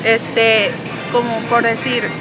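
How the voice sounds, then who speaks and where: A chatty woman in her forties with a northern Mexican accent, telling her story in an easy, relaxed way. Her voice sits a little high, and loud music plays in the big supermarket behind her. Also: takes it slowly. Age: 40s. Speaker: interviewee. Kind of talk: casual street interview. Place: large supermarket indoors.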